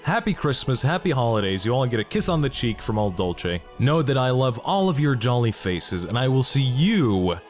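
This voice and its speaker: A man's voice: medium pitch, big pitch swings, normal speed, hardly any pauses, faint music behind. A young man from Belgium, talking enthusiastically and jokingly in a casual gaming vlog. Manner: enthusiastic, joking, asks himself questions. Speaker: gaming YouTuber.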